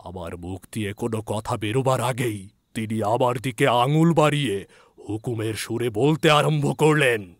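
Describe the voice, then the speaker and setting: A man playing a village physician who recounts an eerie ghostly encounter in a measured, suspenseful audiobook narration. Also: mid-range voice, dry recording, lightly acted. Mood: suspenseful, uneasy. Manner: measured, suspenseful delivery with hushed drops and emphatic questions. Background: background music.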